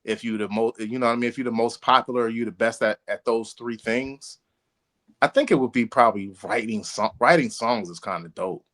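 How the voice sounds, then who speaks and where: Male podcast co-host, mid-pitched voice, casual and emphatic, slangy with some swearing, repeats himself to drive points home. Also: brisk, a bit bright. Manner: emphatic, repeats phrases for stress; informal slangy speech with profanity. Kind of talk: casual chat and friendly debate.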